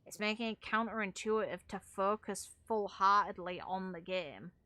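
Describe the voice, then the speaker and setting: A woman's voice: medium pitch, normal speed, bright, forceful tone, no room sound. A woman streamer giving casual, opinionated gaming commentary in a blunt, emphatic, sweary way. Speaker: game streamer and video creator.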